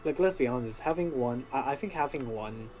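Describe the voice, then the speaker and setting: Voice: mid-range voice, lively intonation, a little fast, darkish tone, a little hiss or hum, poor audio. A co-streamer on a call, chiming in with offhand, laid-back remarks. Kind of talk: casual chat.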